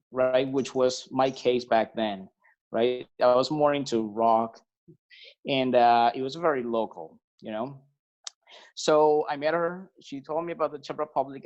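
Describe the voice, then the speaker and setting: A man from Venezuela, a musician guest on a podcast, talking in a relaxed, hesitant way with many fillers and restarts. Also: mid-range voice. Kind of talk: casual conversational interview. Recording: slightly dull lo-fi sound.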